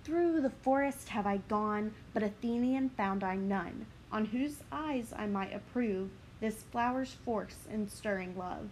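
A woman voicing a mischievous male forest sprite in a slow, rhythmic dramatic verse reading. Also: medium pitch, a little mellow, a little hiss or hum, close to an everyday voice, low-quality recording. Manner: measured, rhythmic verse delivery.

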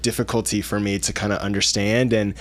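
A man's voice: on the low side, flat delivery, a little fast. A man in his thirties, a doctor hosting a podcast, chatting in an upbeat, rambling way while asking questions. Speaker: podcast host, physician. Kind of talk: casual interview chat.

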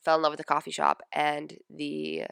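Stuffy-nosed young woman with a creaky voice. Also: sits low, completely flat delivery, moderate pace, a bit bright, no echo, clean studio sound. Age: 20s. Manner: chatty, lots of "like" and "um". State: stuffy nose from a sinus infection. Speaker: podcast host. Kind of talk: casual personal podcast chat.

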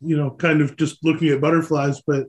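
A man's voice: fairly high pitch, level tone, a little fast, slight background noise. An American man from Pennsylvania asking questions as a co-host in a casual interview chat.

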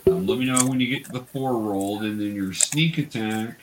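A man's voice: lowish voice, moderate pace, noisy background. A man acting as game master, casually and patiently explaining tabletop rules and encouraging players.

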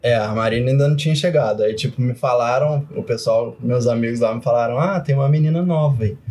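A young Brazilian man with a Brazilian Portuguese accent, telling his story in a relaxed podcast chat and leaning often on filler words. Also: mid-range voice, fast talker. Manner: relaxed, filler-heavy, often says "tipo". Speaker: podcast guest.

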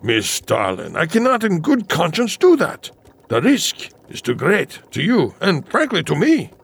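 A man's voice: fairly high pitch, huge pitch swings, a little slow, vocal fry, fairly bright tone, husky, full character voice. An actor playing an eccentric, scheming male scientist with a theatrical manner in a campy audio drama. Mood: reluctant, sly.

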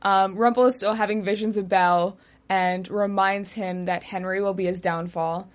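A young woman hosting a casual TV recap show, walking through the plot with frequent pauses and easy laughter. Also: medium pitch, fairly even intonation. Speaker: host.

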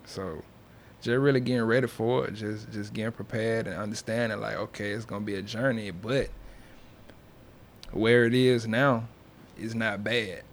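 A man's voice: fairly low pitch, steady tone, moderate pace, slight background noise. A young man talking as a music artist being interviewed, in a loose, slangy, casual way. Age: young adult. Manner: slangy, loose, peppered with check-in phrases.

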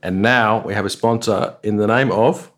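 A man's voice: on the low side, steady tone, normal speed, a bit bright. A middle-aged man co-hosting a casual music podcast, joking and laughing, trailing off with fillers. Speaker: podcast co-host. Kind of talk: casual chat.

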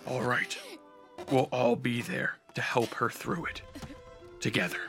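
A man playing a grave, solemn vampire in a radio drama, hushed and halting in delivery. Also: medium pitch, little pitch movement, vocal fry, soft background music.

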